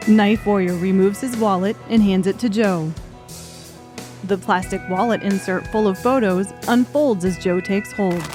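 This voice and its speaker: Woman narrating an audiobook, mid-pitched. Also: steady tone, a little slow, music in the background.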